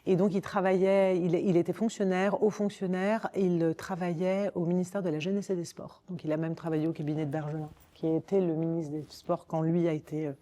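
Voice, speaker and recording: A woman's voice: on the low side, little pitch movement, brisk, hardly any pauses, warm tone. A woman in her forties with a Parisian French accent, speaking informally in an interview and often rephrasing as she explains. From Paris, France. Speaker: podcast guest, communications director. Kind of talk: conversational interview, recounting own career.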